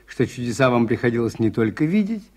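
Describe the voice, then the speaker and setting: An actor playing a mild, shrewd priest-detective in a radio play, measured and politely insinuating. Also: male voice, mid-range voice, big pitch swings, warm tone, close to an everyday voice. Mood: politely probing. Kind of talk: Soviet radio play acting.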